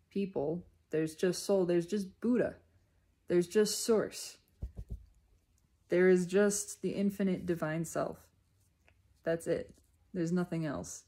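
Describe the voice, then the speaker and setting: A woman giving an unscripted, reflective spiritual monologue in deliberate, halting phrases with emphatic repetition. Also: lowish voice, vocal fry, mellow. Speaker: speaker sharing personal spiritual reflections.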